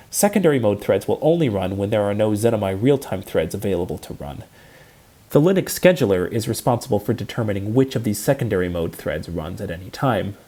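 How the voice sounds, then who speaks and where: A man giving a scripted technical lecture, explaining in a measured and precise way. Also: fairly low pitch, mellow, slightly rough.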